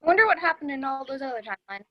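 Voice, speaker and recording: A woman's voice: high-pitched, animated, very fast, dull audio, a little hiss or hum. A woman reading the part of a literal-minded, matter-of-fact young man in a sitcom table read. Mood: curious.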